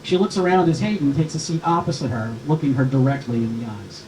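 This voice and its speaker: In a roomy, echoing recording with clear noise behind, a man narrates a screenplay's stage directions. His voice sits in a middle pitch, and the delivery is scripted narration. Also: fast talker, a little mellow.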